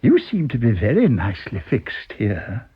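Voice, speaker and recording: Male reader as sly male stranger, breezy, probing, faintly menacing, husky, very varied intonation, storybook drama. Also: mid-range voice, wildly swooping pitch, normal speed, highs cut off, exaggerated voice. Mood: chatty, insinuating. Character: mysterious chatty male stranger, slyly sinister, probing. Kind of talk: dramatised audiobook reading.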